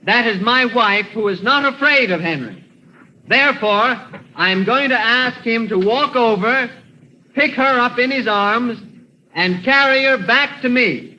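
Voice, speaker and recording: An actor in a vintage radio drama playing a gentle middle-aged inventor who talks in a polite, halting manner. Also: male voice, extremely high pitch, exaggerated voice. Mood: showman. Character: gentle middle-aged male inventor, showman turned grieving widower. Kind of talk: 1940s radio acting. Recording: hissy, muffled old radio broadcast.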